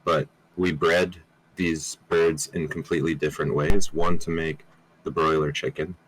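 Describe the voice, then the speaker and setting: A man's voice: rumbling low, flat delivery, moderate pace, a bit bright, slightly rough, poor audio. A man on a call explaining point by point, often checking in with a questioning tag. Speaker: community member explaining.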